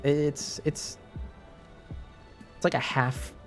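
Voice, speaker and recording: A man's voice: a little high, level tone, very slow, faint music behind. Rambling French Canadian man streaming. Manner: rambling, many restarts and fillers. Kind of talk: casual chat with stream viewers.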